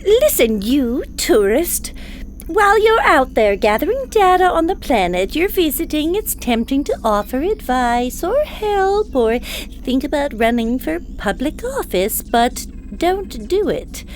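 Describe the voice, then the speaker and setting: An actress playing a long-suffering alien ship's officer in a comedic audio drama, dry, sarcastic and wearily officious. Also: female voice, high-pitched, sing-song, unhurried, slight background noise. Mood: stern, exasperated.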